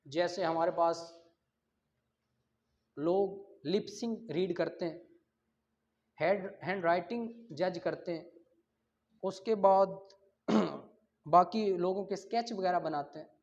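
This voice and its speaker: A high-voiced man with a Pakistani accent teaches law in a didactic, explanatory way. He moves between statute wording and plain examples, posing questions as he goes. The recording is somewhat muffled and lo-fi. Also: slow, pauses often, darkish tone. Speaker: law lecturer. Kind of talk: exam-prep lecture, explaining and reading out statute articles. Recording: dull, lo-fi lecture audio.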